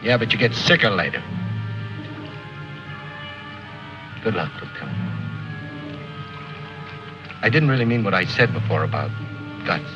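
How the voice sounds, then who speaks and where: An actor playing a bitter, world-weary soldier in a mid-century film drama, speaking intensely and bluntly. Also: male voice, fairly low pitch, animated, normal speed, natural voice. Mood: gruff farewell. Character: brooding, bitter male soldier Mac, set on a last mission. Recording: muffled old film soundtrack with music.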